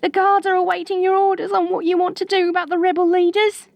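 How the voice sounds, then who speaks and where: A timid young castle maid in a fantasy audio roleplay. Her voice is extremely high and stays on a narrow, even line, recorded close and dry. She is apologetic, formal and quick to obey. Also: vocal fry, dry recording. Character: meek, deferential young female castle servant.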